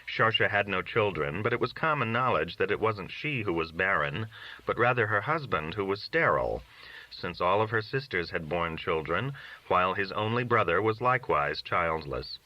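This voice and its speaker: A man's voice: sits low, lively intonation, normal speed. A man reading literary short stories aloud as scripted narration. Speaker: audiobook-style reader of short stories. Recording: dull, lo-fi recording.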